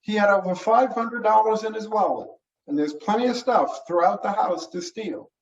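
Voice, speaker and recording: Man reading as an eager young deputy, high-pitched. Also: normal speed. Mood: teasing, keen. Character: eager young male deputy, teasing his boss. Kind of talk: mystery short story reading. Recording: roomy, muffled, distant mic.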